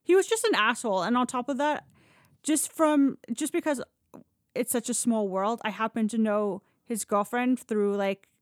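A woman's voice: fairly high pitch, normal speed, no room sound, studio quality. A woman telling stories in a casual, chatty podcast style, informal and blunt, with loose swearing. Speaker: podcast storyteller and host. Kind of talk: casual conversational storytelling.

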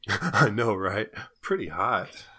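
A man's voice: lowish voice, expressive, slow, pushed and bright, slightly rough, full character voice. Man reading a cocky, dim older brother. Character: cocky, dim, over-aged high school senior brother. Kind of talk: parody table read of TV family drama.

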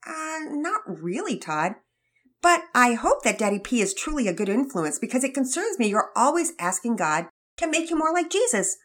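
A woman's voice: on the high side, sing-song, moderate pace, a bit bright, pitched above the performer's own voice. A woman voicing a caring but skeptical mother who speaks wryly about her son's newfound faith. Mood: doubtful. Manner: wry, doubtful.

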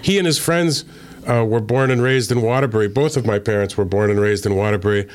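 A man from Connecticut, an author being interviewed, speaking in a measured, thoughtful way with frequent filler pauses. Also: on the low side, clear, resonant tone. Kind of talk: relaxed interview conversation.

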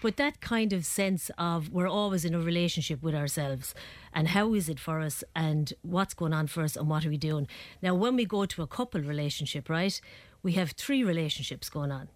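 A woman's voice: low-pitched, normal speed, no echo. A woman, a psychotherapist guest on a radio interview, explaining earnestly while getting over a head cold. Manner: earnest, explanatory, frequent tag questions.

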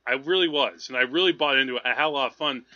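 A man's voice: mid-range voice, very fast, bright, forceful tone, lo-fi sound. A man talking through films casually as a podcast host, in a rambling, conversational way. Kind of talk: casual film discussion.

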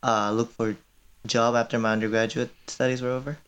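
Clear-voiced young man, tentative interview guest. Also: medium pitch, flat delivery, fairly quick, fairly bright tone. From Indonesia. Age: 20s. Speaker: student filmmaker guest. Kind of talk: casual interview answers.

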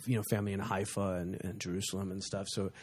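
Brash guy joking around on a podcast, talks fast, kinda husky. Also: low voice. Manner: brash and animated, jokes a lot. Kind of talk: casual chat.

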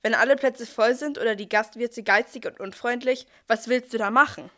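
A woman plays a down-to-earth angel narrating a nativity audio play. The voice sits fairly high and talks straight to the listener in a chatty way, as a busy helper would. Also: moderate pace, a bit bright, lightly acted. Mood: matter-of-fact, pleased. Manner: chatty, addresses the listeners directly. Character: archangel Gabriel, busy, down-to-earth helper and storyteller. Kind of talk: scripted nativity radio play monologue.

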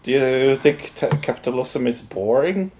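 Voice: mid-range voice, lively intonation, moderate pace, a little mellow. A podcast co-host chatting casually and teasing the others. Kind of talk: casual chat.

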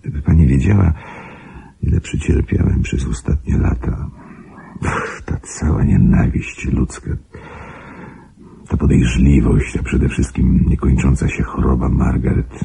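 An actor playing a brooding, persuasive wealthy gentleman in a radio play, husky, halting and intensely pleading. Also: steady tone, heavily acted. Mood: tender, anguished. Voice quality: very deep, gravelly, husky. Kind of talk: Polish radio play acting.

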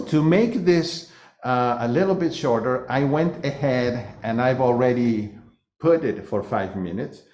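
A man in his fifties, a guest cook demonstrating a recipe in a halting, casual way. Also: mid-range voice, darkish tone, reverberant, a little hiss or hum. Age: 50s. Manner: halting, many fillers and restarts.

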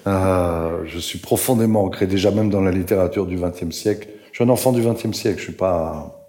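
An older man speaks in an earnest, halting way as a panel guest, often pausing on fillers. His voice sits very low. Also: warm tone. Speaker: author guest. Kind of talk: panel conversation.

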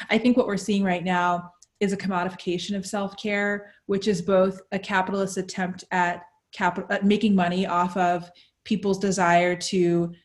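Flat-toned articulate woman. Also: medium pitch, normal speed. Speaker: panelist. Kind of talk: casual discussion.

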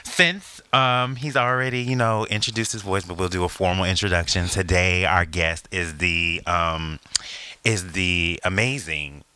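A man co-hosting a podcast in casual chat, playful and laughing often, jumping between loud bursts and quiet asides. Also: low voice, unhurried, clear, resonant tone, dry recording. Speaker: podcast co-host.

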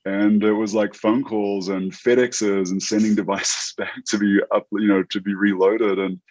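A New Zealand man in his fifties with an American accent, a tech entrepreneur talking through an interview in a halting way, full of restarts and fillers. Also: on the low side, level tone, a little mellow, dry recording, highs cut off. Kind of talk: casual interview chat.

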